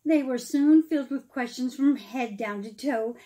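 A middle-aged woman reads a rhyming children's story aloud in an expressive, dramatic manner, pausing for emphasis. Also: high voice. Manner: dramatic, emphatic phrasing with pauses, explaining asides to children. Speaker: pastor reading a children's story.

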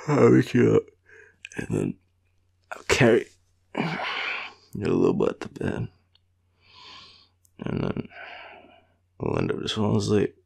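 Deep, gravelly, sleepy Australian man. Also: a little mellow. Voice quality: low, gravelly, husky. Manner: sleepy, playful, teasing, halting. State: tired. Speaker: boyfriend roleplay audio performer. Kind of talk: intimate boyfriend roleplay, casual sleepy rambling.

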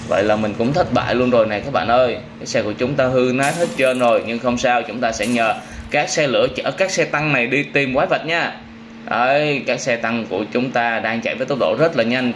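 A man in his thirties from Vietnam giving excited gameplay commentary for children, exclaiming, repeating phrases and often addressing his viewers. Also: mid-range voice. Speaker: video host playing a vehicle crash game for children. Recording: clearly noisy, game vehicle sounds behind.

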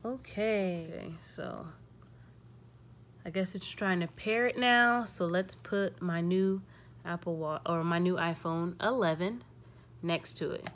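A woman's voice: lowish voice, slow pace, slight background noise. A young woman hosting a casual step-by-step tech tutorial, thinking aloud and reading prompts in an informal way.

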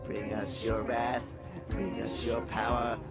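Someone doing a solemn cult witch ritual chant for a cartoon, slow, big music behind. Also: mid-range voice, little pitch movement. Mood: solemn, fervent. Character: solemn, fervent cultist witch chanting a dark summoning. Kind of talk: ritual incantation. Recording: loud music under the voice.

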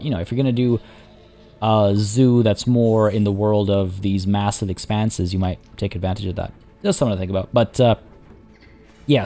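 A hoarse young man casually commenting as he plays a zoo-building game, chatty and rambling. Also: lowish voice, warm tone. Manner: rambling, chatty, many restarts and fillers. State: hoarse, losing his voice. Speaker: let's play host.

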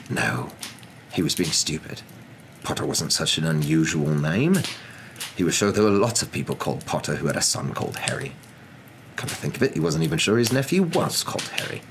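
A young man reading a story aloud as a dramatic, expressive ASMR narrator. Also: extremely low pitch, fairly quick, a little hiss or hum.

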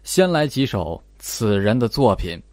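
A man reading expressive scripted narration as an audiobook narrator. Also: on the low side, a little slow, no echo.

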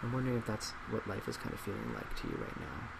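A man in his twenties in a soft-spoken ASMR role play as a psychologist, measured and reflective. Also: fairly low pitch, gravelly. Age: 20s. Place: small indoor office at a desk. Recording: steady loud laptop fan hiss.

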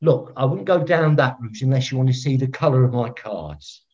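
A man plays a pompous, eccentric older football referee in a comedy. His delivery is theatrical and self-important, with a very animated pitch. The sound is dull and lo-fi, like a video call. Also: moderate pace, close to an everyday voice. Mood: wounded, indignant. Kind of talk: filmed comedy acting. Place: room at home, on a video call.

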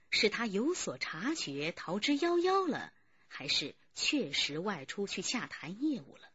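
Very husky woman drama narrator. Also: medium pitch, a bit bright, dull audio.

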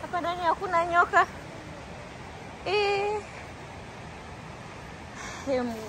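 A young woman with a high voice talks at an unhurried pace into a phone held at arm's length on a forest trail. She is chatty and giggly and drifts between English and Swahili. The rush of a stream and waterfall comes through clearly behind her. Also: animated, bright, forceful tone, low-quality recording. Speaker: vlogger. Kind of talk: casual vlog narration while hiking. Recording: outdoor noise from stream and waterfall.

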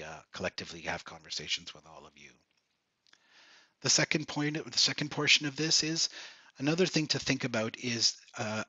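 A man giving a measured talk over slides at an online town hall, pausing often with fillers and restarts, on a video call. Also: on the low side, sharp tone, breathy edge. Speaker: organization leader presenting at a town hall. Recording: dull lo-fi video call audio.

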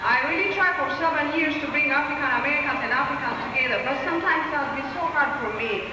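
A woman's voice: high voice, quick pace, noisy background. A woman, not a native English speaker, giving an earnest, pleading speech at a podium in a large hall. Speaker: community speaker at a rally. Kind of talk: impassioned public speech. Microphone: podium mic from a far camera. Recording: echoey hall recorded from far off.

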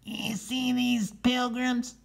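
Man putting on a high-voiced tough turkey. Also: monotone, slow pace, creaky, exaggerated voice. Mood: urgent. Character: tough male wild turkey, urgent and defiant father. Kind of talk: storybook character voice.

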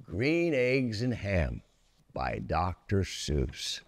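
A man in his seventies hosts a read-aloud for children. He takes his time, and his pitch moves very widely as he tells the story. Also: huge pitch swings, drawn-out words. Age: 70s. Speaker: children's storybook reader and host.

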